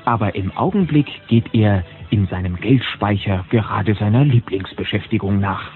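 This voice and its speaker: A man's voice: fairly low pitch, moderate pace, soft background music, natural voice. A man narrating a children's audio drama as a chatty, knowing storyteller who poses rhetorical questions. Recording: phone-like old cassette sound.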